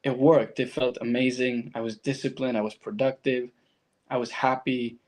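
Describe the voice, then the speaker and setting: A man's voice: mid-range voice, flat delivery, normal speed, a little mellow. A man from Argentina with a Latin American accent and Spanish as his first language, speaking as a guest and telling his personal story reflectively in conversation.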